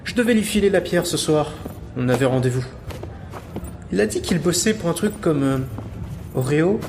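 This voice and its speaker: A cheeky, irreverent thief played by a man with a lively, swinging intonation. He talks in slangy audio-drama banter, always teasing. The voice rings in a reverberant space over faint ambient noise. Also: fairly high pitch, moderate pace. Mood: offhand, chatty. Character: cheeky male thief, glib, mocking, irreverent.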